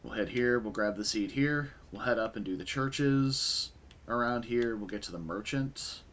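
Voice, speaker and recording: A young man with a raspy voice from a concert the night before, casually commentating a game stream and chatting with viewers. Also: mid-range voice, normal speed. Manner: relaxed, chatty, answers chat.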